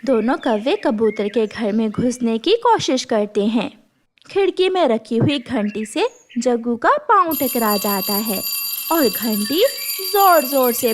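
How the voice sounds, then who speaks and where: A woman's voice: a little high, slight background noise. A woman telling a scripted children's story as its narrator.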